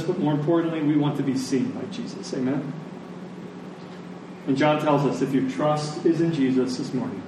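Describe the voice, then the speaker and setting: A man preaching a sermon in an earnest, emphatic manner, repeating phrases for weight. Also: a little high. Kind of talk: sermon, closing in prayer. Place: large echoing room, church service. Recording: echoey large room, distant, faint noise.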